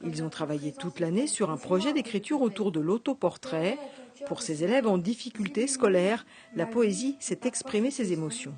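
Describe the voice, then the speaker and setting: A woman presenting local TV news and interviewing a guest in the studio, reading clearly with a few fillers. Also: mid-range voice, animated, moderate pace, a crowd in the background.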